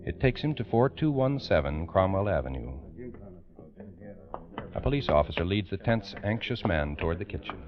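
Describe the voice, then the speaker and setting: A man's voice: sits low, very animated, normal speed, a crowd in the background. A man giving formal, dramatic scripted narration for a 1940s radio crime program. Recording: dull old radio recording.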